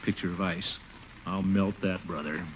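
An actor playing a pompous, flirtatious, middle-aged commissioner in a 1950s radio comedy, theatrical and given to chuckling. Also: male voice, sits low, steady tone, moderate pace, background noise, close to an everyday voice. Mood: grumbling, scheming. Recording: muffled old radio recording with music.

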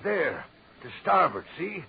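An actor playing a seasoned, alarmed sailor in an urgent, exclamatory 1930s radio horror style. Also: male voice, a little high, big pitch swings, takes it slowly, heavily acted. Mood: uneasy, puzzled. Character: seasoned male sailor alarmed by his shipmate. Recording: muffled old radio recording with crowd noise.

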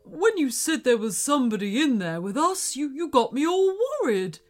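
A woman's voice: high voice, big pitch swings, normal speed, darkish tone, faint music behind, pitched above the performer's own voice. A woman voicing a large, friendly male wolf in a children's storybook, sheepish, easily worried and chattily apologetic.

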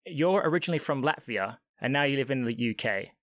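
A man's voice: medium pitch, expressive, brisk, a bit bright, no room sound, studio quality. A man hosting a travel podcast, reading an upbeat scripted intro and then chatting with his guest from home during lockdown. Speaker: podcast host.

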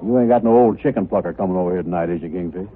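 Man acting a low-voiced grumbling comic sidekick. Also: racing, over a noisy crowd, natural voice. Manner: broad dialect comedy delivery. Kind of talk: 1950s radio comedy acting. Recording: tinny, faintly noisy old radio recording.

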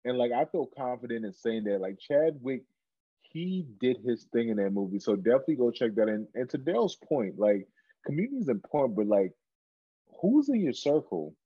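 A man's voice: mid-range voice, very animated, brisk, slightly rough, no echo, dull audio. Earnest man from Cameroon on a podcast. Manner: earnest, repeats words while building a point. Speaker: podcast co-host. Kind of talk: casual podcast chat.

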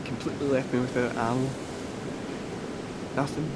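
Audio drama role: remorseful man played by a male actor, mid-pitched, halting and confessional, naturalistic delivery, heavy wave-like rumble behind. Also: level tone, close to an everyday voice. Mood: earnest, reflective. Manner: halting, confessional, swearing, repeating himself. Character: remorseful, earnest man pleading with estranged partner. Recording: loud rushing surf-like rumble noise.

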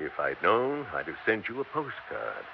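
A weary older salesman, very deep voice, lively delivery, dry wisecracks, dull old radio sound with faint hiss. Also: moderate pace, gravelly, heavily acted. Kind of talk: 1960s radio drama acting.

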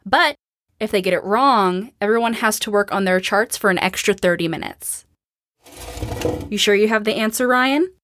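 An actress playing a witty, sarcastic young schoolteacher in a post-apocalyptic audio drama, with a wry, bantering manner. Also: female voice, mid-range voice, moderate pace, fairly bright tone, a little hiss or hum, lightly acted. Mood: encouraging, lively. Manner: wry, dry-humored, bantering. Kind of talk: naturalistic audio drama acting.

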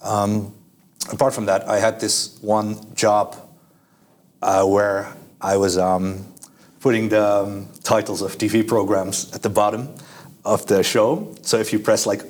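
A young man whose first language is Dutch, talking with a somewhat low voice. He answers casually, with lots of filler words and sentences he starts over. He sounds easygoing and unhurried. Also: flat delivery, darkish tone. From Netherlands. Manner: hesitant, many fillers and restarts. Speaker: guest.

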